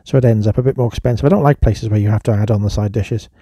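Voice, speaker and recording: A man's voice: on the low side, racing, dark tone, no room sound. A man giving a casual voice-over review as a vlogger.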